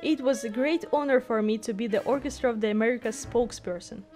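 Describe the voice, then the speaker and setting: A woman with a high-pitched voice hosts a musical tour vlog. She moves between scripted narration and casual interview questions, with music clearly playing behind her. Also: fast talker. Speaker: vlogger, orchestra musician and interviewer.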